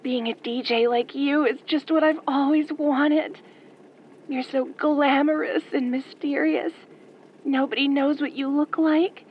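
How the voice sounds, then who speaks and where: A woman's voice: high-pitched, steady tone, a little slow. A woman playing a starstruck, sweetly eerie young caller to a radio show, gushing in a sugary way over a muffled line. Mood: gushing, eager. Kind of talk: radio call-in roleplay. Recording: muffled phone-in line with faint noise.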